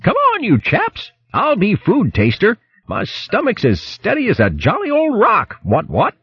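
A man's voice: high-pitched, huge pitch swings, a little slow, slightly rough, dull audio, voice pushed up, heavily acted. A man voicing a jolly, greedy upper-class hare sailor in a storybook, with plummy, blustering old-fashioned slang. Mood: jolly bravado.

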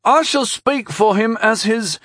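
A man's voice: sits high, a little slow, a bit bright, no room sound, clean studio sound, voice pushed up. A man voicing a bold, brash teenage herald knight in an audiobook, declaiming formally in a cracking adolescent voice. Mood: boastful proclaiming. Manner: declamatory, overbold.

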